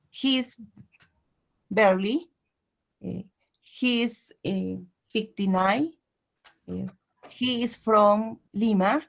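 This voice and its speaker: A woman's voice: medium pitch, vocal fry. A woman in her sixties with a Peruvian Spanish accent, speaking hesitant English with many fillers. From Peru. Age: 60s. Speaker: university professor taking a class.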